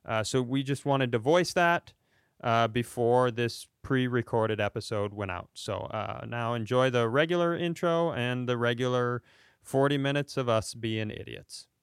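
A man's voice: mid-range voice, unhurried, clean tone, dry recording, clean studio sound. A man from Minnesota talking casually as a podcast co-host. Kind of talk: casual spoken podcast talk.

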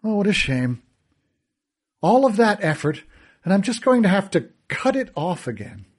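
A man's voice: high-pitched, very animated, normal speed, slightly rough, voice pushed up, full character voice. A man voicing a cruel, theatrical villain who gloats and taunts in a sneering, sing-song way. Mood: gloating.

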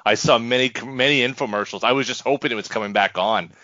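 A man's voice: medium pitch, fast, sharp tone, no room sound. A man co-hosting a casual sports podcast, joking in a wry, exaggerated way. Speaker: podcast co-host. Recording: slightly dull, dry podcast audio.

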